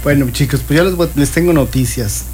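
A man's voice: on the high side, a little fast, a little mellow, slight background noise. A man giving an emphatic, declamatory motivational reading to a band. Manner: declamatory, urging. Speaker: numerology segment presenter. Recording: music clearly behind.

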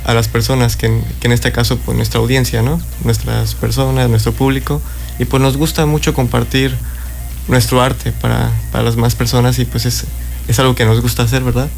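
A man's voice: medium pitch, level tone, a little fast, creaky, a little mellow, soft background music. A young man, a band member answering interview questions with many hesitations and restarts. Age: 20s.

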